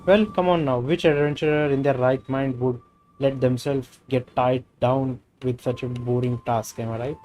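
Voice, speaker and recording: A man's voice: mid-range voice, fairly even intonation, moderate pace, a little mellow, slight background noise, natural voice. Man reading as an evasive Indian-accented adventurer woman. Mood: defensive, sheepish. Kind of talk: game dialogue read aloud.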